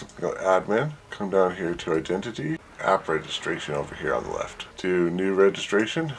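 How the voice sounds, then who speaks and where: A man presenting an informal software tutorial, thinking aloud as he works through each step. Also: sits low, slight background noise. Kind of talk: casual screen-share walkthrough.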